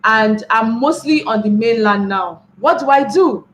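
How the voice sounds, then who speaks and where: A woman's voice: on the high side, measured pace, fairly bright tone. Young woman, Nigerian accent, webinar speaker, motivational, repeats her points, echoey room. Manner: repeats key phrases, asks the listeners questions, tags lines with "right?". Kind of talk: motivational talk to an online class. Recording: echoey room with faint background noise.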